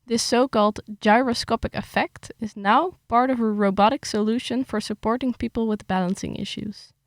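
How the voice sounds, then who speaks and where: A young woman with a gravelly, slightly creaky voice, recorded dry and close with no room sound. She chats with some hesitation, then reads her article aloud steadily. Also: fairly high pitch, moderate pace, no echo. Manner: hesitant with fillers when chatting, steady when reading. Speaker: writer reading her article. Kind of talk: casual chat, then reading an article aloud.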